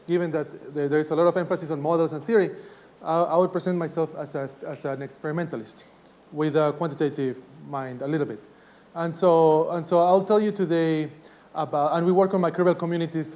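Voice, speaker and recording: A man in his forties from Ecuador giving a university lecture, speaking softly because of a sore throat, halting with many restarts and fillers. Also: on the high side.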